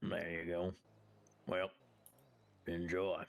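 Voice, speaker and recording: A man's voice: sits low, completely flat delivery, very slow, gravelly, exaggerated voice. A man playing a sly, laid-back biker dealer in game roleplay, terse, nonchalant and cocky.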